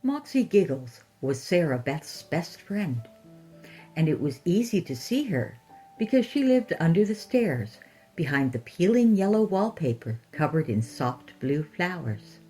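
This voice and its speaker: A woman's voice: low voice, dark tone, poor audio. A woman hosting and narrating fiction in a scripted storytelling style. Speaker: podcast host and story narrator.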